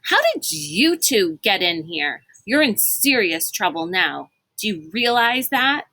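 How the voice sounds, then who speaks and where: A woman's voice: medium pitch, big pitch swings, slow pace, sharp tone, heavily acted. Haughty, suspicious girl guard, read by a woman. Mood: haughty, threatening. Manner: snarling, accusing.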